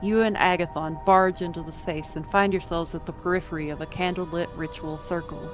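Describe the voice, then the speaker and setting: Lower-pitched woman narrating a story. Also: steady tone, fairly quick, music in the background. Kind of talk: scripted second-person story narration.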